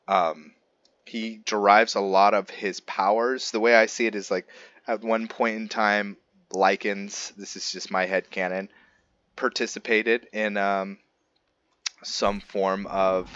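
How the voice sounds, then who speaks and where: A man doing roleplay game commentary and reading aloud as a channel host, halting and often stumbling over his words and restarting. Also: fairly low pitch, fairly bright tone.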